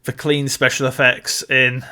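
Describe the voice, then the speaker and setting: Bright-voiced man chatting about films. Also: medium pitch, level tone, measured pace, smooth. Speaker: podcast co-host. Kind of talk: casual film discussion.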